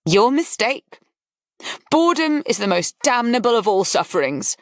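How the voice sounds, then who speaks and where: A woman's voice: on the high side, sing-song, moderate pace, no echo, clean studio sound, pitched above the performer's own voice. A woman voicing a scornful aristocratic lady who speaks in a languid, cutting, mocking way. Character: scornful, bored aristocratic lady breaking an engagement.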